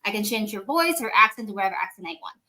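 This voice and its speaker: Non-native English-speaking woman host, preachy and emphatic, sharp bright tone. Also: medium pitch, expressive, very fast. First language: not English. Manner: emphatic, lecturing, addresses listeners directly. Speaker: podcast host. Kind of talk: motivational podcast talk.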